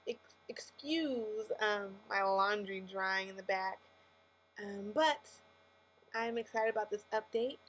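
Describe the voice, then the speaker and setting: A woman's voice: medium pitch, takes it slowly, rough recording. A woman with a lisp gives a casual, candid personal testimony to camera, speaking emphatically and correcting herself as she goes. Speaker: vlogger with a faith-based message. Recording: steady mains hum and background noise.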